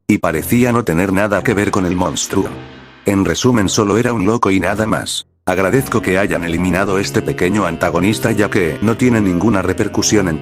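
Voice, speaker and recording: A man narrating a scripted retrospective video as its presenter. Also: low voice.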